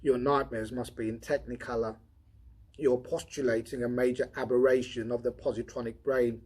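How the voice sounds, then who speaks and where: A man's voice: mid-range voice, little pitch movement, normal speed, darkish tone, breathy edge, close to an everyday voice. A man reading an audiobook as a brusque, skeptical robot technician, speaking in a dry, methodical, impatient way. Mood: dismissive. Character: brusque, logical, skeptical male senior robot field technician. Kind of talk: audiobook character dialogue.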